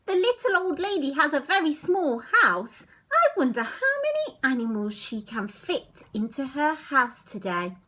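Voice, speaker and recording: High, animated woman reading a children's story. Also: measured pace, few pauses. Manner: expressive, addressing young children with questions. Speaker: storytime reader.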